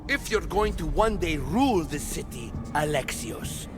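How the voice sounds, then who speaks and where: An actor playing a proud, scheming ruler who lectures others with condescension, in a video game. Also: male voice, on the high side, expressive, a little slow. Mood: haughty. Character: proud scheming male ruler Atlas, ageless. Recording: game soundtrack music underneath.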